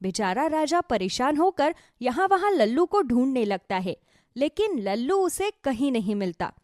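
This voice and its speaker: Animated woman narrating a children's story. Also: high-pitched, normal speed, dry recording, studio quality.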